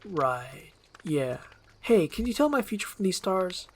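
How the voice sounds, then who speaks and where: A performer voicing a chatty, nosy, excitable male donkey in an acted screenplay reading. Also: medium pitch, a little slow, voice pushed up. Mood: curious.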